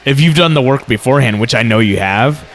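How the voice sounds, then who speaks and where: Guy hosting a chill podcast, first language vietnamese, rambles and restarts his questions a lot, normal pitched voice. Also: animated, fairly quick. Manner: rambling, self-correcting questions. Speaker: podcast host. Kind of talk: casual podcast interview.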